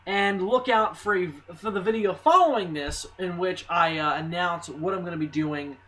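A hoarse young man with a slight cold, talking casually and excitedly about a movie trailer, with lots of ums and restarts. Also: high voice, moderate pace. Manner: excitable, rambling, swearing, many ums and restarts. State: hoarse, a little sick. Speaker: movie news vlogger. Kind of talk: casual spoken movie commentary.

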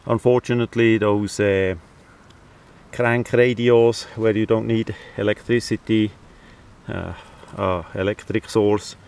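A man's voice: lowish voice, fairly even intonation, slow pace, vocal fry, darkish tone, clear, resonant tone. A man who speaks English as a second language, giving a casual hands-on demonstration outdoors with hesitant phrasing. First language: not English (non-native speaker). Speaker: hobbyist video maker. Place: outdoors, beside a tarp on the ground.

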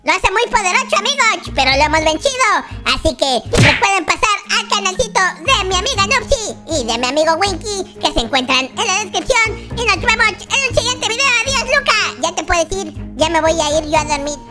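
Squeaky, very high cartoon baby. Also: fairly quick, few pauses, over loud music. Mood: triumphant. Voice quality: squeaky, childlike. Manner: excitable, repeats phrases. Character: excitable cartoon baby adventurer. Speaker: host of a children's gaming channel. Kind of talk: Minecraft roleplay commentary for children.

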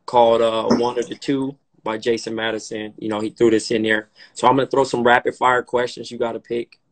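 Relaxed man in his thirties hosting. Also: medium pitch, a little fast. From Compton, California. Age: 30s. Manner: relaxed, hesitant, repeats words often. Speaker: podcast host. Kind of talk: casual interview chat. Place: dim room indoors, on a live video call. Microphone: phone camera, split-screen live stream.

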